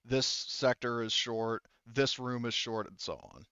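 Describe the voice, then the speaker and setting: A man running a tabletop roleplaying game as game master, narrating casually and haltingly with muttered asides. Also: medium pitch, fairly even intonation, sharp tone, smooth, dry recording, muffled recording.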